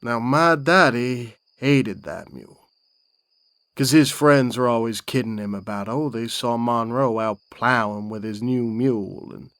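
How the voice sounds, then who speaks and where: A man playing a wistful rural man recalling his father, telling it in a slow, folksy, reflective monologue. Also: medium pitch, a bit bright, no echo, clean studio sound. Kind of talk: dramatic storytelling monologue.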